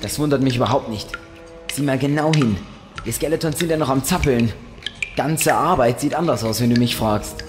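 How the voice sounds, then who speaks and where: A man's voice: mid-range voice, normal speed, lightly acted. A man playing a bold, protective young swordsman with a wry streak in a fantasy audio drama. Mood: wry, calm. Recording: long cave-like reverb, loud music.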